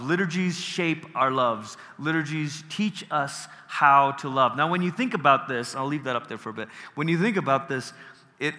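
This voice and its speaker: A man's voice: medium pitch, pushed and bright, long reverb tail. A man from Malaysia giving a casual workshop lecture on theology, talking conversationally, checking in with the audience and often restarting phrases. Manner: conversational, asks the audience questions, restarts, laughs easily. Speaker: workshop speaker.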